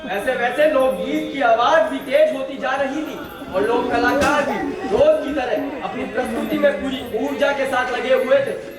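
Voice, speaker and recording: An earnest storyteller narrates a folk drama in a very high voice. The sound is distant and very echoey, with clear audience noise. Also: male voice, unhurried, over a noisy crowd. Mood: earnest, narrating. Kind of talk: scripted Hindi stage narration.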